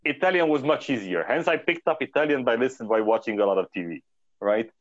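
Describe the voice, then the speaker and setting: A man's voice: mid-range voice, expressive, fast talker, no room sound, highs cut off. A man from Romania talking as an interview guest, hesitant, with many fillers and restarts. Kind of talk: casual interview talk.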